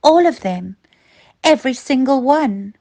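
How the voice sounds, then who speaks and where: A woman's voice: high voice, expressive, unhurried, vocal fry, warm tone. A woman narrating a children's story as a storyteller, expressively and with dramatic emphasis.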